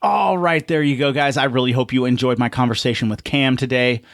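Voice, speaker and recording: Medium-pitched man hosting a dry-sounding podcast. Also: a little fast, gravelly, dry recording. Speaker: podcast host.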